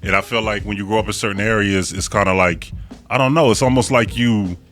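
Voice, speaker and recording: A man with a deep voice chatting casually as a podcast guest in an animated, slangy, emphatic way. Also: a little fast. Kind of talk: casual conversation.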